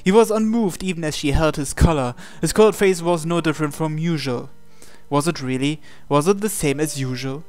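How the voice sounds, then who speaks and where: Male visual novel reader, fairly high voice, dramatic scripted narration, music underneath. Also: moderate pace, darkish tone.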